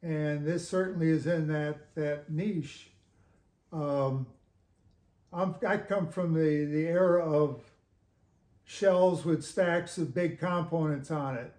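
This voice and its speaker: A man in his seventies giving casual interview answers in a halting, measured way with frequent pauses. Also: a little high, steady tone, a little mellow. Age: 70s. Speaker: guest reviewer of audio gear. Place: home living room with hi-fi gear. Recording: echoey room.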